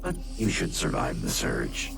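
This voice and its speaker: An actor voicing a calm, courteous artificial intelligence that runs a facility in a video game, with formal, precise phrasing. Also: male voice, extremely low pitch, measured pace, creaky, heavily acted. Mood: calm, matter-of-fact. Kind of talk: video game cutscene acting. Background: loud game music.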